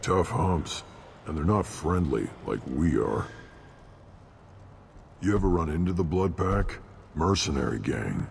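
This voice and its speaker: An actor playing a surly, blunt alien warrior in a video game, gruff and dismissive, with a deep gravelly voice. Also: male voice, a little mellow, exaggerated voice. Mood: sardonic. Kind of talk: video game character acting. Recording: long reverb, clear background noise.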